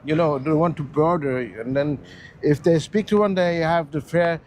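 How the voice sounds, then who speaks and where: A man in his fifties, born in Germany, chatting in a halting, friendly way as a tuk-tuk tour guide outdoors, speaking with an accent. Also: a little high, steady tone, a little mellow, slight background noise. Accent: Portuguese with German influence. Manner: halting, repeats words, friendly. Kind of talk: casual chat. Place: outdoors on a Lisbon street.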